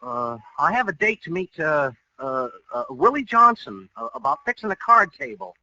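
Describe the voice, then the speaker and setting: An actor playing an eager, bumbling young boyfriend with an American accent in 1940s radio comedy, hesitant and earnest. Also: male voice, a little high, sing-song, moderate pace, pushed and bright, no echo, full character voice. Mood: nervous, hesitant. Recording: dull, hissy old radio recording.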